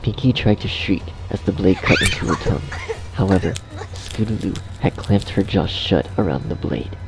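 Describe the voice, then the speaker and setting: A man reading scripted dark fiction as a narrator in a measured storytelling manner. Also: sits low, gravelly, rough recording.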